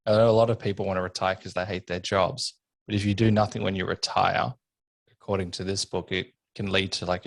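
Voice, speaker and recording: A man's voice: deep voice, gravelly, no room sound. A man hosting a podcast interview, asking questions in an earnest, thoughtful way. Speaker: podcast host.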